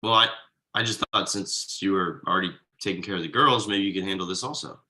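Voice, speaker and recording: A man's voice: low voice, a little fast, pushed and bright. A man playing a testy, sarcastic father in a sitcom table read, dry and needling. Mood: sheepish. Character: testy, sarcastic husband and father of a small girl.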